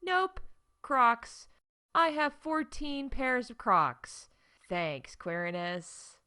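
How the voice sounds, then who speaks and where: A woman's voice: on the high side, sing-song, extremely slow, sharp tone, exaggerated voice. A woman playing a chatty, wry theme park staff announcer in a scripted comedy podcast, emphatic and full of conversational asides. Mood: exasperated.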